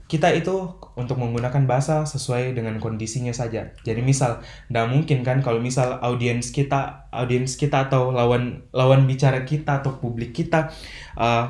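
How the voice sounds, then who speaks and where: A young man talks in careful, formal sentences, earnest and a little motivational, with fillers between thoughts. His voice sits at a middle pitch. The room around him rings noticeably. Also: darkish tone. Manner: measured, formal phrasing with frequent fillers. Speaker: podcast guest, language ambassador. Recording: roomy echo.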